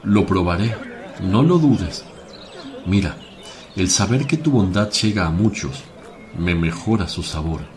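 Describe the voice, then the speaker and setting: A man playing Jesus as a gentle, solemn teacher who preaches in measured, declamatory phrases in a religious radio play. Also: low-pitched, animated. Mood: tender, reassuring.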